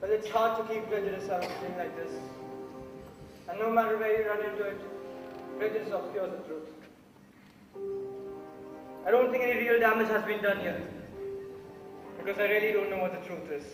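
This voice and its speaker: A man playing a thoughtful, doubting juror in an amateur stage play, reasoning in a measured way. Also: high voice, lightly acted. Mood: measured, reflective. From India. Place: theatre stage, recorded from the audience. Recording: echoey hall, distant mic, music.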